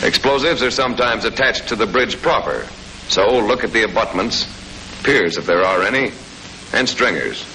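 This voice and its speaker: An American man narrates in the brisk, scripted style of a 1940s army training film. His voice is lowish and forceful, with plain-spoken, folksy instruction. It comes from an old film soundtrack with a faint layer of noise. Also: lively intonation, rough recording. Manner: brisk, matter-of-fact instruction with folksy colloquial touches.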